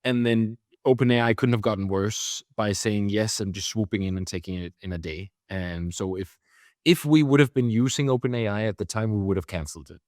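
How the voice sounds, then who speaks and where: A man's voice: on the low side, a little fast, darkish tone, no room sound, clean studio sound. Danish-accented man, hesitant restarts. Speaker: guest, company founder. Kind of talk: conversational interview.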